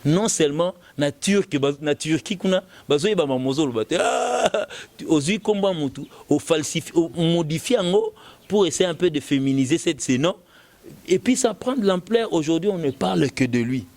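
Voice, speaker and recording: A man's voice: fairly high pitch, lively intonation, normal speed, a little mellow. A man with a Congolese accent talking casually and giving opinions, often repeating words as the argument builds. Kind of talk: casual opinionated talk mixing French and Lingala.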